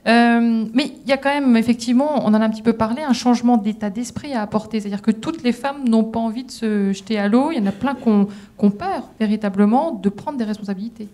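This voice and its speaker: Woman moderator, slightly high voice, measured questions to panelists. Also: mellow.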